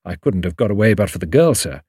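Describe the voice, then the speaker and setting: A man's voice: deep voice, expressive, quick pace, a little mellow, slightly rough, dry recording, studio quality. A man voicing a modest, eager young Englishman in an audiobook, frank and respectful in his manner. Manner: deferential, frank. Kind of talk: audiobook character dialogue.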